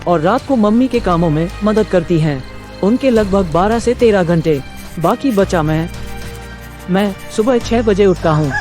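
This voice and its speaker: Insistent teenage son for a cartoon moral tale, played by a man: high young voice, faint music underneath. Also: darkish tone. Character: stubborn demanding teenage schoolboy son from a poor family. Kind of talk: animated moral story dialogue.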